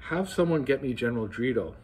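A man's voice: mid-range voice, expressive, fast talker, darkish tone, slightly rough, low-quality recording. A man in his sixties reading the part of a shrewd, smug male army general in a lifted, emphatic voice. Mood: scheming.

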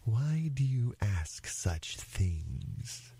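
A man voicing a sly, menacing storybook crocodile that coaxes its prey in a slow, breathy, whispery voice. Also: on the low side, sing-song, warm tone, slight background noise, heavily acted. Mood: sly, coaxing. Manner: slow, drawn-out, coaxing. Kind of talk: storybook character voice.

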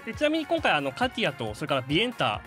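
Male presenter, polite announcer chat, very fast, lively intonation. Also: on the high side, over music. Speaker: game staff presenter giving news.

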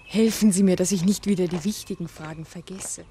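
An actress playing an earnest, modest village schoolmistress in a 1960s German radio play, polite and self-effacing. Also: female voice, on the low side, steady tone, fairly quick, warm tone, close to an everyday voice. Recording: noisy 1962 mono radio recording.

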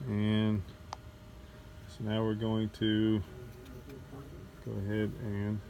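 A man's voice: on the low side, monotone, very slow. A man casually narrating a field test off the cuff, thinking aloud as he works, recorded outdoors on a cell phone. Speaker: vlogger testing drone gear. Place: outdoors at night in a neighborhood. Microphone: handheld cell phone. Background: outdoor ambience and passing vehicle noise.

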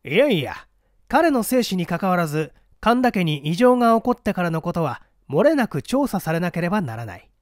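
A man's voice: high voice, very animated, fairly quick, no room sound, studio quality. A man voicing a shrewd, condescending scholar-detective in a mystery reading, lecturing methodically and a little pompously. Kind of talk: dramatic storybook reading of a mystery.

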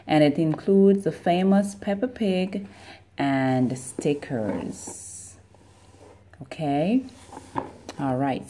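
A woman narrating a toy unboxing, gently explaining each step as she builds a set at a table. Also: sits low, background noise. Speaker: toy reviewer. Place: indoors at a table, voice behind the camera.

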